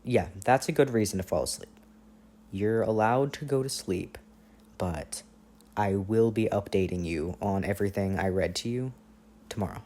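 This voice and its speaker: A man playing an affectionate, playful boyfriend on a long-distance phone call, in intimate, casual ASMR roleplay with gentle teasing and hesitant pauses. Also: lowish voice, lightly acted. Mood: tender, teasing. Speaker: audio roleplay performer.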